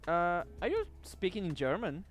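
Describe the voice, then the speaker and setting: A man's voice: sits high, wildly swooping pitch, normal speed. A man streaming a game late at night, chatting casually with viewers, with distinctive rolled R's and casual swearing. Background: faint background music.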